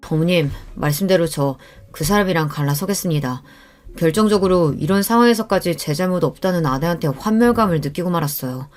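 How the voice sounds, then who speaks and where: A woman's voice: on the low side, fairly quick, a little mellow, faint music behind, lightly acted. A woman voicing an earnest, polite adult son who is weary of his marriage, in a radio-style story. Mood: weary resolve.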